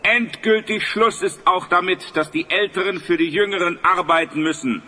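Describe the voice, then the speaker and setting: An actor playing a just, dignified new regent in a fantasy audio play, speaking in stately declamation. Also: male voice, high voice, moderate pace, sharp tone, a little hiss or hum. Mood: proclaiming.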